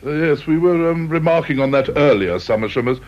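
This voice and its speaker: An actor playing a genial, polite British official in a radio drama, hesitant, courteous and slightly embarrassed in manner. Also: male voice, a little high, lively intonation, brisk, darkish tone, close to an everyday voice. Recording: muffled old radio recording.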